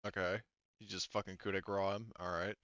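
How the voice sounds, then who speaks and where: A man's voice: sits low, flat delivery, brisk, fairly bright tone, muffled recording. A man refereeing a tabletop roleplaying game in a casual, informal way as game master.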